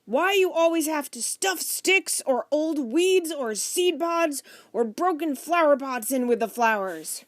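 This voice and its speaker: A woman's voice: fairly low pitch, expressive, a little fast, few pauses, natural voice. A woman voicing a stern, exasperated father in a children's audiobook, speaking formally and emphatically. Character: stern, exasperated father shouting over his noisy family.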